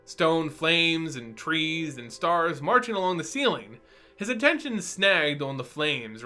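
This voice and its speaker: Male lore host and storyteller: forceful bright delivery, very expressive pitch, playful teacherly manner, music bed. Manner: playful lecturer addressing listeners as students. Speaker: host and narrator of a fantasy lore channel. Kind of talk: dramatic story reading and scripted lore commentary.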